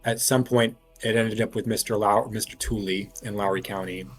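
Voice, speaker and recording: A man's voice: on the low side, fairly even intonation, normal speed, soft background music. A man talking casually and analytically as he works through a mystery at length as a tabletop game player. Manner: analytical, reasons aloud at length.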